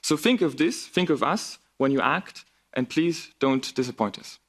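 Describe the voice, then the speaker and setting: A man's voice: on the high side, lively intonation, moderate pace. A man in his twenties, whose first language is Bulgarian, giving an earnest, emphatic formal speech as a youth delegate in a parliament chamber. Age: 20s.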